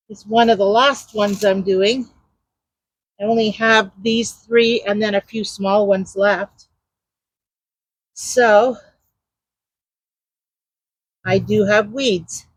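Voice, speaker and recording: A woman chatting loudly while she works in her garden, explaining her steps as she digs. Her mic is clipped to a nearby trellis, and she rambles and hunts for words. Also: mid-range voice, steady tone, drawn-out words, long pauses, no echo, rough recording. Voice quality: loud voice. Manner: talks while digging in the garden. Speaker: gardening vlogger. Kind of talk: casual how-to narration while working. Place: outdoor garden.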